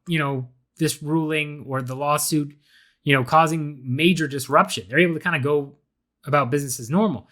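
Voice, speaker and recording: A young man talks through a solo podcast episode in a loose, chatty way, often stopping and restarting his sentences. His voice sits a little higher than most men's and carries a slight rasp from a recent illness. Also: a bit bright. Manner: casual, chatty, frequent fillers and restarts. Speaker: podcast host.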